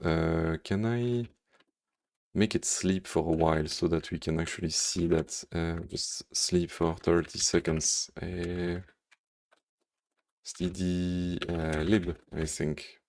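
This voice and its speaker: A man's voice: low voice, steady tone, slow, a little mellow, a little hiss or hum. A man from Belgium with a Belgian French accent, coding on a live stream and answering viewers' chat, thinking aloud with lots of fillers and humming to himself. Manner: thinks aloud with many fillers, hums to himself while working.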